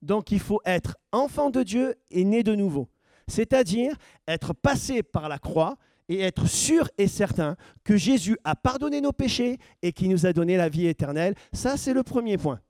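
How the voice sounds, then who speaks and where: A man's voice: high-pitched, lively intonation, moderate pace, a little mellow, dry recording, clean studio sound. A man preaching as pastor, questioning his congregation and building to emphatic points. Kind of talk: sermon teaching.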